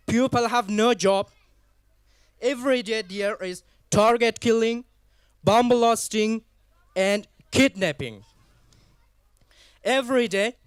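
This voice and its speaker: Slow, high-voiced young Afghan man. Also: smooth, no echo. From Afghanistan, raised partly in Pakistan. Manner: halting, deliberate, in short phrases with non-native English. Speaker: guest speaker at a community event. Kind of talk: public speech, personal testimony.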